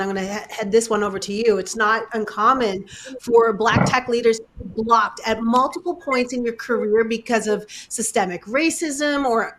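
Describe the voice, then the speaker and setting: A woman's voice: on the high side, a bit bright. A woman moderating a panel discussion, posing questions and affirming the speakers. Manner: affirming, frequent "mm" backchannels.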